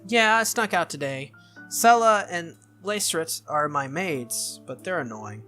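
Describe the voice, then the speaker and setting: A man's voice: fairly high pitch, animated, unhurried, pushed and bright, soft background music, voice pushed up. A man voicing an innocent, cheerful little girl from a noble family, childlike and eager, in a visual novel read-through. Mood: proud, chatty.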